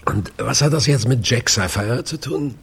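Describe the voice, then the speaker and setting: An actor playing a blunt, sceptical early-retired police inspector in a radio play, speaking colloquially. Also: male voice, mid-range voice, moderate pace, creaky, a bit bright, breathy edge, heavily acted. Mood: sceptical, incredulous.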